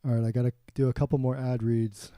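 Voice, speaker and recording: A man's voice: medium pitch, level tone, quick pace, dry recording. A man hosting his own stream, rambling casually to himself while he checks his mic between ad reads. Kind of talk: casual self-talk. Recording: loud music behind the voice.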